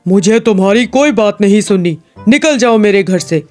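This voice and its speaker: An actor playing a harsh, scornful rich elder brother, a merchant, in a cartoon story over music. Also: male voice, sits high, expressive, normal speed, a little mellow.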